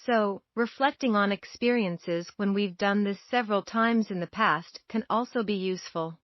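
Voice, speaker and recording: A woman narrating a scripted guided meditation in a measured, gentle, instructional way. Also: medium pitch, no room sound, highs cut off.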